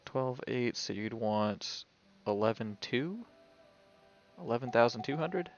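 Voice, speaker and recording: A man's voice: medium pitch, expressive, a little slow, dull audio. Man thinking aloud on a game stream. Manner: thinks aloud, talking to himself. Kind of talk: casual live gaming commentary. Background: video game music.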